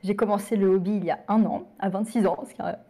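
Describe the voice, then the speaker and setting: A French woman in her late twenties, a guest chatting casually and filling pauses with "euh". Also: medium pitch, steady tone, mellow. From France. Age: 20s. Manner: chatty, with frequent fillers. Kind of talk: casual interview chat.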